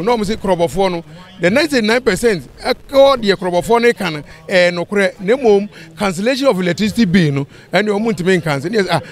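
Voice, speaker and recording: A middle-aged Ghanaian man gives an impassioned interview to the press outdoors. His voice is high for a man, and he slips English phrases into his talk. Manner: mixes in English words and phrases. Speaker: community interviewee. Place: outdoors in an open street area. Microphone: handheld press microphones and phones.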